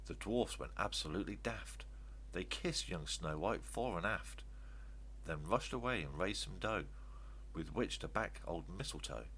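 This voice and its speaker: An older man reads rhyming verse aloud as children's storytelling, in an expressive, emphatic way. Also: sits low, unhurried, poor audio. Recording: steady background noise with hum.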